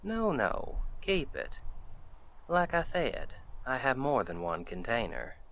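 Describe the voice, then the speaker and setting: A man playing a friendly, gentlemanly Old West sheriff in a western audio roleplay, folksy and reassuring as he talks to the listener. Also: mid-range voice, sing-song, full character voice, poor audio. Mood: reassuring, kindly. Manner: folksy, unhurried, reassuring cowboy talk.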